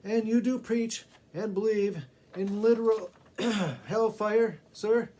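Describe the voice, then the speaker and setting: A man voicing a sly hotel diner in a storybook tale, clearing his throat and asking pointed, emphatic questions. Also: high voice, slow pace, warm tone, slight background noise, voice pushed up.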